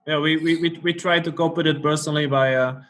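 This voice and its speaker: Higher-pitched man, halting interviewer. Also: steady tone, a bit bright, a little hiss or hum. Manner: hesitant, halting phrasing with repeated words. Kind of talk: casual interview chat.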